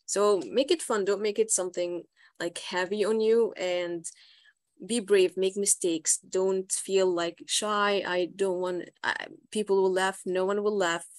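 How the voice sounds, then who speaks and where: A woman's voice: mid-range voice, fairly even intonation, unhurried, dry recording. A young woman from Egypt with an Egyptian accent, an English teacher giving encouraging advice to students on a video call. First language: Arabic. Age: young adult.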